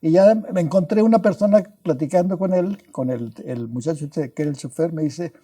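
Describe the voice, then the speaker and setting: A man's voice: on the high side, fairly quick, dark tone. An older man from Mexico with a Mexican Spanish accent, telling stories as a guest scientist in an interview, restarting words and adding tag questions as he goes. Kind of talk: anecdotal storytelling in a podcast interview.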